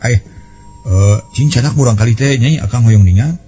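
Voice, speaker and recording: A man playing a smitten, flustered young man with a halting manner in Sundanese storytelling, on an old cassette recording. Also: medium pitch, mellow, slightly rough. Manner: stammering, halting.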